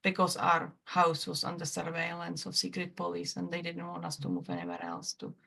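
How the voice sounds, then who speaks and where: Czech-accented woman, low gravelly voice. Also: sharp tone. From former Czechoslovakia. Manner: halting, many restarts and fillers, non-native grammar. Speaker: guest, trauma therapist. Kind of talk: casual interview talk.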